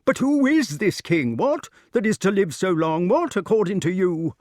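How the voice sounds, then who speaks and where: A man voicing a dithering, excitable, petulant elderly king in a storybook, with a flustered, repetitive manner. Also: high voice, slow, no echo, clean studio sound, pitched above the performer's own voice, heavily acted. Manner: flustered, repetitive, peppered with interjections.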